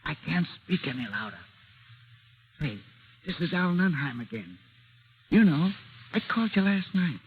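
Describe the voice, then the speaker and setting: An actor playing a furtive small-time informant, urgent, slangy and conspiratorial, in old radio drama style on a vintage broadcast recording. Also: male voice, fairly high pitch, very animated, moderate pace, long pauses, phone-quality sound, slight background noise. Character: furtive small-time male informant making a secret phone call. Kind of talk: 1940s radio drama acting.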